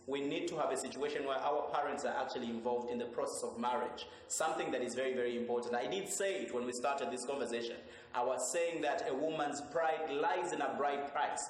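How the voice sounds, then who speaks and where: A man's voice: medium pitch, fairly quick, hardly any pauses. A male talk show host speaking in an earnest, preachy way as he closes out a panel discussion. His voice rings through a roomy hall with a long echo, and a faint hiss sits underneath.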